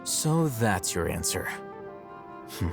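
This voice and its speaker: A young, analytical scholar in a video game, with a low-pitched voice. He sounds aloof and sure of himself, measured and dry, over clearly audible music. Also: very animated, exaggerated voice. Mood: calm, probing. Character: aloof, analytical young male scholar, coolly self-assured. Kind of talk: video game character acting. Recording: background music under the voice.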